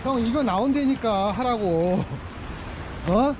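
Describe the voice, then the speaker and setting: A man fishing with a friend on a rocky seashore, casually giving quick instructions. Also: very high voice, lo-fi sound, background noise. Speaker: fishing companion. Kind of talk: casual chat while fishing. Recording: loud crashing surf behind.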